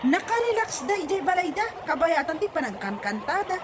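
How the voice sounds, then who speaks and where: A woman playing a nagging, excitable village wife in a comedy audio drama, with an Ilocano accent. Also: very high-pitched, fairly quick, noisy background. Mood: gossiping.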